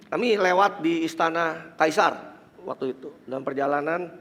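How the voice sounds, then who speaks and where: A man's voice: on the high side, measured pace, long reverb tail. A man with an Indonesian accent and a congested, nasal voice, preaching a church sermon and leading prayer, mixing in English phrases. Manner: mixes English phrases into speech, rises to emphatic preaching; soft murmured prayer. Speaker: pastor. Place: large church hall.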